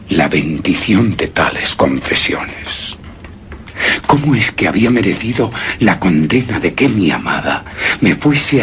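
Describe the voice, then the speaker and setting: An actor playing a grieving, obsessed widower in a suspense and horror radio drama, with a solemn, halting, brooding delivery that drops into whispers. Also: male voice, sits low, fairly bright tone, a little hiss or hum, full character voice. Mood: tormented grief. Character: grieving, obsessed widower recounting his beloved's death. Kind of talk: Spanish-language suspense and horror radio drama, theatrical monologue.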